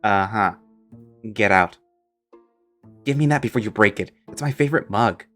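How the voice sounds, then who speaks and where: A sarcastic homeowner , played by a man, talks in a low, flat, dry voice, unbothered and blunt. Quiet music sits faintly underneath. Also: normal speed, close to an everyday voice.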